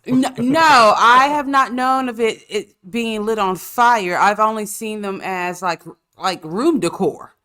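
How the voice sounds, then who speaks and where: A woman's voice: mid-range voice, slow, few pauses, pushed and bright. A woman hosts a casual podcast while stuffed up with a cold. She tells stories with sassy, profane humor and laughs often. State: congested, coughing. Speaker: podcast host. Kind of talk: casual chat.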